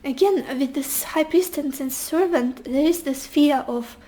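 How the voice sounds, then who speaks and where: Woman, high pitch, voice fading and hoarse; soft, reassuring tarot-reading delivery. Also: a little slow. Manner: gentle, reassuring, addresses the viewer directly. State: losing her voice, hoarse. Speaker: tarot reader. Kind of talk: casual pick-a-card tarot reading.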